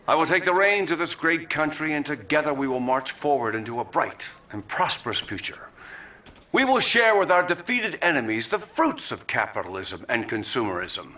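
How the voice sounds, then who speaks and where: A smug, cynical politician orating. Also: male voice, medium pitch. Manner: oratorical, swinging between loud and hushed. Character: smug male politician giving a speech, cynical. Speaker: vice president. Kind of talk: speech from a podium.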